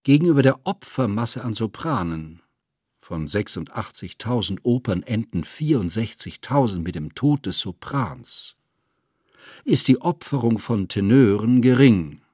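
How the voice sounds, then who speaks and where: A man's voice: on the low side, animated, unhurried, darkish tone, slightly rough, dry recording, studio quality. A man narrating a scripted literary text in a formal, matter-of-fact way.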